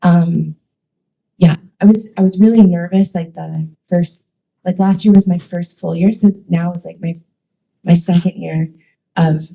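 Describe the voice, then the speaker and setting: A woman speaks to a church with a low, husky voice that has a gravelly edge. Her tone is dark and mellow. She talks in a chatty, enthusiastic way, full of fillers. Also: steady tone, a little slow, low-quality recording. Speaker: church youth ministry leader. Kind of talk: casual church talk.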